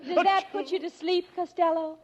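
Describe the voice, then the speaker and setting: A woman's voice: very high voice, moderate pace, crowd noise. An actress playing a fussy, teasing young lady being courted, in 1940s radio comedy style. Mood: coy, teasing. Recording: muffled, noisy old radio recording.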